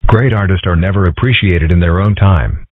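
A calm, philosophical fox boy played by a man in a comic strip cartoon, measured and analytical. The voice is low and husky, through a thin phone-like recording. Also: no echo. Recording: thin, band-limited, phone-like sound.

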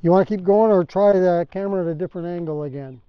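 A middle-aged man giving relaxed, rambling commentary while riding an e-bike on rural back roads, recorded by an action camera on the bike. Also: high-pitched, quick pace, clean tone. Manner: relaxed, rambling, thinks aloud with fillers. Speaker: e-bike vlogger. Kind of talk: casual ride commentary. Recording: muffled outdoor camera audio with faint wind noise.

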